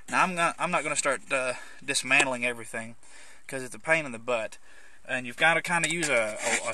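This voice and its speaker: A man's voice: mid-range voice, pushed and bright. A man gives an informal, conversational hands-on walkthrough as a hobbyist presenter, rambling a little as he explains things in and around a car.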